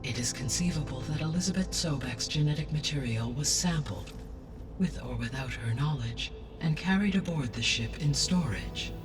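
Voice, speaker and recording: A woman playing a composed, learned artificial intelligence in a video game, speaking in a measured, formal and precise way. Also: sits low, lively intonation. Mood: calm, analytical. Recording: distant sound, clear game music behind. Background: music.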